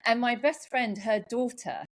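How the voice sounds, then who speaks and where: A woman's voice: on the high side, measured pace. A woman from England with an Americanized British accent, hosting a casual, chatty interview as a podcaster.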